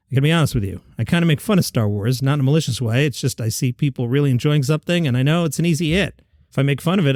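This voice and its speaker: A man's voice: medium pitch, darkish tone, no echo. A man hosting a comedy news podcast, reading headlines with dry offhand asides, his voice a little worn. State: slightly worn voice.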